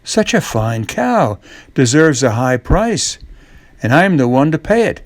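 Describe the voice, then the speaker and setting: A mysterious male stranger from a fairy tale, put on by a man reading. He is a persuasive trader with slow speech and a dry, close sound. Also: a little high, very animated, no echo.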